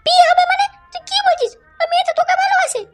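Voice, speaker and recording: A performer voicing a worried, doting cartoon mother in a very high, shrill voice. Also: steady tone, very fast, a bit bright, faint music behind. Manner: anxious, doting. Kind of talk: cartoon voice acting.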